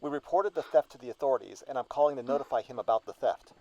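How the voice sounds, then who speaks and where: A man's voice: medium pitch, quick pace, darkish tone, no room sound, close to an everyday voice. A man playing a formal, businesslike storage company employee on a phone call in an audio drama. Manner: formal, procedural. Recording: dull, band-limited phone-call sound.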